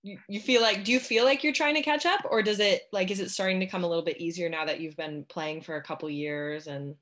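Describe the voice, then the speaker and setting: A woman's voice: lowish voice, fast, fairly bright tone. A young woman hosting a sports podcast, interviewing a guest in a casual, chatty way full of "um" and "like". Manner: chatty, many fillers like "um" and "like". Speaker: podcast host.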